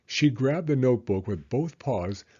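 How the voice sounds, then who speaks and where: A man narrating a children's story as an expressive read-aloud. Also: mid-range voice, a little slow, slightly rough. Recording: slightly dull, muffled audio.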